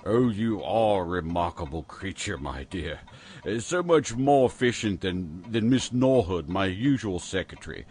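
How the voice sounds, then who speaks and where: An actor playing a pompous, lecherous, doddering British Prime Minister in a comic audio drama, with an English accent and hesitant mumbling. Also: male voice, low voice, expressive, measured pace, a little mellow. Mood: flirtatious, flustered.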